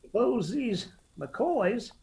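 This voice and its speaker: An actor playing an amused, rough Appalachian mountain clansman in a radio drama. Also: very high-pitched, big pitch swings, drawn-out words, dark tone, full character voice.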